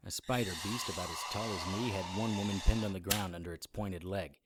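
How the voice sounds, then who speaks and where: A man's voice: low-pitched, fairly even intonation, fairly quick, very noisy, close to an everyday voice. An actor playing a tough, wisecracking monster hunter, narrating his own story in a dry noir style for an audio drama. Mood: tense narration. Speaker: lead actor narrating in first person. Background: music bed under the voice.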